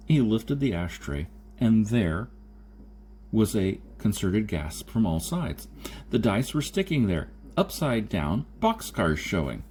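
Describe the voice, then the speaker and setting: Mellow, low-voiced man reading fiction. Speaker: audiobook reader. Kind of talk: scripted narration of a science fiction story. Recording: noticeable background noise.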